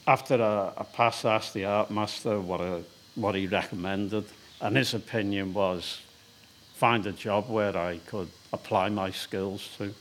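A man's voice: fairly low pitch, steady tone, measured pace, a bit bright, slight background noise. A man in his sixties with a Liverpool accent, a stone carver telling his story in an interview, hesitant with frequent fillers. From England. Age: 60s. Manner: hesitant, with many fillers and restarts.

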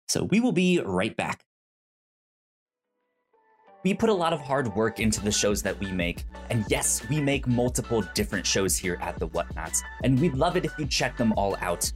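A man hosting a podcast in a casual, chatty style, with a tendency to stammer and repeat syllables. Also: on the low side. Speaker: podcast host. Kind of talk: casual podcast chat about movies and show news.

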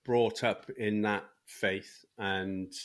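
A man's voice: on the low side, fairly even intonation, drawn-out words. A middle-aged man with a Welsh accent, speaking candidly as a guest about his life, rambling with many restarts. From Wales.

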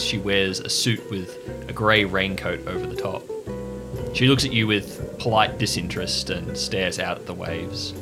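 A man narrating a tabletop role-playing story as game master, measured and atmospheric, with music underneath. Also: sits low.

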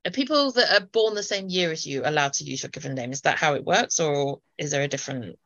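A woman's voice: low-pitched, lively intonation, fast, a bit bright, muffled recording. A woman co-hosting a podcast in casual chat, asking questions while thinking aloud with restarts. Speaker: podcast co-host.

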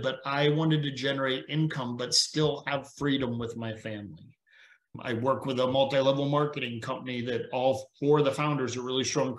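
Earnest retired cop on a roomy video call. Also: male voice, mid-range voice, fairly bright tone. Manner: earnest storytelling, preachy. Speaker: podcast guest. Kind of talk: casual conversation.

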